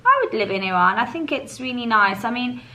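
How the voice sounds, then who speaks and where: A woman's voice: fairly high pitch, lively intonation, a little fast, pushed and bright. A woman, chatting casually and laughing as she answers viewers' questions. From England. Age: 30s. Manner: chatty, laughs often, many fillers. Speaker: vlogger. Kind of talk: casual Q&A chat.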